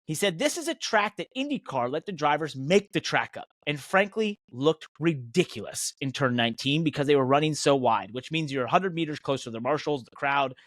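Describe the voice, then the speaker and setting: A man's voice: a little high, animated, fairly quick, hardly any pauses, fairly bright tone, dry recording, clean studio sound. An American man hosting a motorsport podcast, talking in an emphatic, indignant and opinionated way. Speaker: podcast host and professional race car driver.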